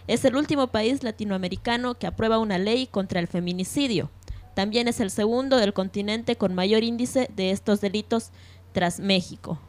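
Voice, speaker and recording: Woman hosting a radio programme, halting delivery. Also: medium pitch. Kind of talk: semi-scripted radio presenting with news reading.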